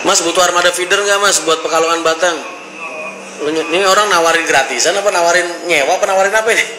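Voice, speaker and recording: A man's voice: high-pitched, fast talker. Man chatting casually in a noisy, echoey space. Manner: informal, slangy, bantering. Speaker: livestream or vlog host reading comments. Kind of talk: casual chat, reading out comments. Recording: roomy echo, loud background noise.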